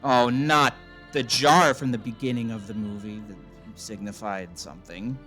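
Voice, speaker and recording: A man's voice: medium pitch, fairly even intonation, moderate pace, fairly bright tone, faint music behind. A man giving casual, mocking commentary on a film in a sarcastic, irreverent way. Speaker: video host and commentator.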